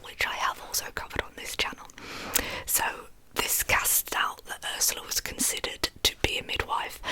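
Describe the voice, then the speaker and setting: A woman telling true crime as ASMR in a breathy whisper, with informal, chatty asides. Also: sharp tone, rough recording.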